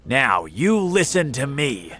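A man voicing a bossy, alarmed police officer in a storybook, shouting commands. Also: medium pitch, sing-song, slow. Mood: demanding.

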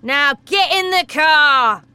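A woman voicing a gruff, impatient father who barks orders loudly. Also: high voice, unhurried, dry recording, pitched above the performer's own voice. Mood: bossy. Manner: loud, barking orders.

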